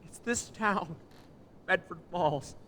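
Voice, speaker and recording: Stammering desperate man for a stage radio play, played by a young man, fairly high voice. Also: slow, bright, forceful tone, a little hiss or hum, heavily acted. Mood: pleading. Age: young adult. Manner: stammering, pleading. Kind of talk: live radio play acting. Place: stage in a small theater. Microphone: vintage stand mic on stage.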